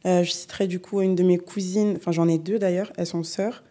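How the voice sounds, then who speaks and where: A young adult woman singer from the Paris region, chatting in an unhurried way as a podcast guest, with frequent fillers. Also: on the low side. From Paris region, France. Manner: relaxed, unhurried, many fillers. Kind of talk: casual interview chat.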